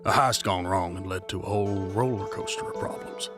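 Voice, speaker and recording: Low-voiced, somber outlaw played by a man. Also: fairly quick, lightly acted. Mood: somber, haunted. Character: grieving male outlaw of a heist crew, guarded. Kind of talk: scripted audio drama acting. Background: music.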